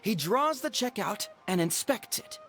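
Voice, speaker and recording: An actress playing a composed storyteller, narrating a video game tale in a formal, theatrical way. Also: female voice, medium pitch, very animated, measured pace, fairly bright tone. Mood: composed, dramatic.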